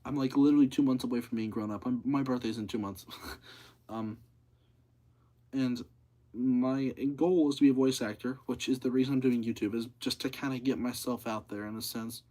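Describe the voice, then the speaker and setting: A seventeen-year-old young man talks to his livestream chat in a flat, monotonous voice. He rambles with many fillers and says the same thing again and again. His pitch sits in the middle of the usual range for a man. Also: normal speed.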